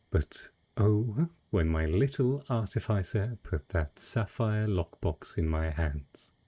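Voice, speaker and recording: Deep, husky dragon in gentleman's guise acted by a man. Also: exaggerated voice. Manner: refined, eloquent, unhurried storytelling. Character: wealthy, cunning male dragon in humanoid disguise, courtly and possessive. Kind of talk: romantic fantasy audio roleplay, intimate monologue.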